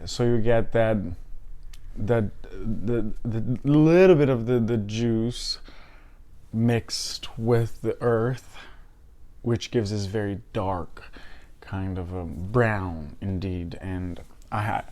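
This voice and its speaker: Slightly hoarse man, fairly low voice. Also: dark tone. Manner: hesitant, halting, often repeating words. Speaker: fragrance reviewer and host. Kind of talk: casual unscripted review to camera.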